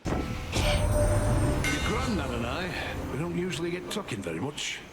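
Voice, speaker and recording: A folksy craftsman god speaks plainly in a somewhat high male pitch. The casual game performance sits over loud music. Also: very animated, normal speed. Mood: casual, chummy. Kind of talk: game character acting.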